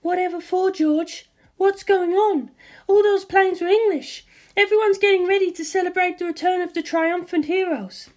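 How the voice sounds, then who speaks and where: A woman voicing a worried, gentle middle-aged mother speaking on the phone. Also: extremely high pitch, fairly even intonation, normal speed, pitched above the performer's own voice. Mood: puzzled. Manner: soft, anxious, loving.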